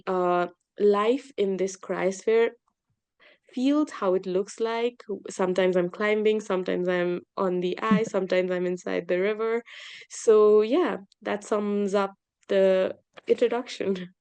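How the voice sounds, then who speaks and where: A woman's voice: medium pitch, steady tone, slow, dark tone, clean tone. A young woman from Nepal, a glacier researcher, presenting her work on a video call with many hesitant fillers. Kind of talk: presenting over slides in a webinar.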